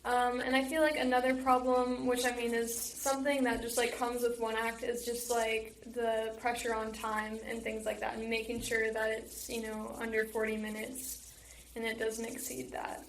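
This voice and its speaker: High, even-toned teenage girl in echoey room. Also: moderate pace. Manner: heavy use of "like". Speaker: student stage manager. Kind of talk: casual interview answers. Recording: roomy echo, faint background noise.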